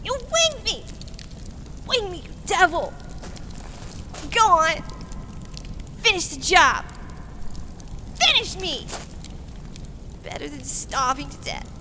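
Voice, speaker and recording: Woman playing a high-pitched, desperate radio villainess. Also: big pitch swings, takes it slowly, long pauses, pushed and bright, full character voice. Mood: bitter, defiant. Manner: melodramatic, emphatic. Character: Jeanette, desperate female criminal, fearful, defiant, melodramatic. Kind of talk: Golden Age radio drama acting. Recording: noisy radio drama recording.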